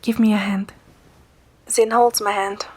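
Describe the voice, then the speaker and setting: A woman playing a playful, caring young woman who narrates a romantic story in the first person, in a gentle, soft manner. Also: medium pitch, flat delivery, measured pace, husky. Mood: light, playful. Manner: gentle, soft, sometimes whispering.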